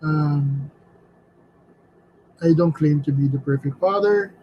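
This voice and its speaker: A middle-aged man talks slowly and hesitantly, stumbling over repeated words and fillers in a slightly high voice. He speaks in a home studio room. The recording sounds a little dull and lo-fi, with faint noise behind. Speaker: artist and vlogger. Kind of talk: casual reflective chat while drawing.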